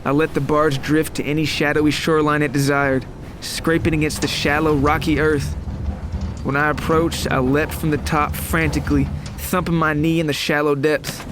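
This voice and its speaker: A man's voice: fairly high pitch, level tone, moderate pace, noisy background, natural voice. A man voicing a traumatized survivor of a monster attack, narrating his horror story in a grave, literary, dramatic way. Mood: frantic, tense. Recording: music behind the voice.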